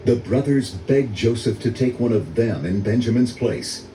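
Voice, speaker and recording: A man's voice: medium pitch, expressive, normal speed. An older man giving scripted narration for a storybook read-along. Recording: roomy, distant, faintly noisy audio.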